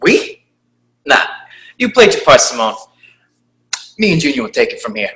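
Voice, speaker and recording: A woman plays an arrogant, smug teenage boy. The delivery is extremely animated in pitch, reading from a screenplay. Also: normal speed, heavily acted. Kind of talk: screenplay table read.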